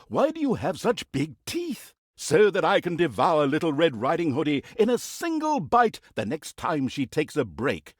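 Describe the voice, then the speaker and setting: A man's voice: on the high side, no echo, studio quality, exaggerated voice. A man playing a sardonic, mock-grand storyteller who narrates a comic fantasy game with dramatic, tongue-in-cheek delivery and an easily exasperated temper. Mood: storybook menace.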